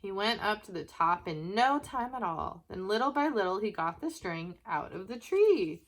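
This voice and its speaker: A woman narrating a children's picture book in an expressive way, with question-asking asides to young listeners. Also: medium pitch, normal speed, poor audio. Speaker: children's story reader.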